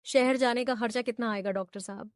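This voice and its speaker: A woman's voice: on the high side, steady tone, fairly quick, no echo, studio quality, close to an everyday voice. A woman playing a frightened, poor farmer's wife in a moral story, anxious, humble and deferential. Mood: fearful, anxious. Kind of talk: acted dialogue in a Hindi moral story.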